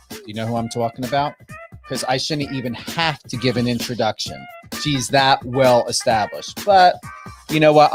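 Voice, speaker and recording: This is a man with a New Jersey accent hosting a radio show. He is brash and emphatic, hyping things up, repeating himself for effect and swearing freely. His pitch is middling for a man, and music plays behind him. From New Jersey, United States. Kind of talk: hyped radio guest introduction.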